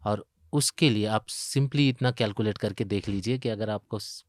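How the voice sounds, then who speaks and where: Low-voiced Indian man explaining patiently. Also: normal speed, a little hiss or hum. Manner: explanatory, poses questions and worked examples. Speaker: retirement expert, pension fund investment chief. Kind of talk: interview explanation.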